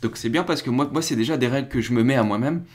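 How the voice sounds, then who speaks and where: Young male streamer, chatty and rambling, mid-pitched voice, casual news commentary. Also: fast talker. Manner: rambling, restarts and fillers, chats with viewers.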